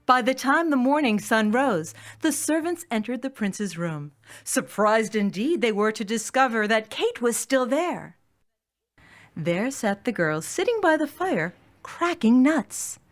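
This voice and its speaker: A woman's voice: on the high side, very animated, a little slow. A woman storyteller reading a scripted fairy tale for children, with music behind her voice.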